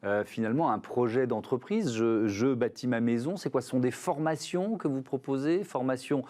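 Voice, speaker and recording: A man hosts a business interview show in a studio. His voice sits in an ordinary middle pitch. He asks his questions haltingly, with plenty of "euh" and restarts. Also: dark tone. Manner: hesitant questioning with many "euh" and repeated words. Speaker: TV show host.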